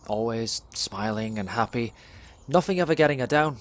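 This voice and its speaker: A young man performs a dramatic spoken word monologue in a measured, deliberate storytelling way, pausing between phrases. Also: medium pitch, expressive. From England. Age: young adult.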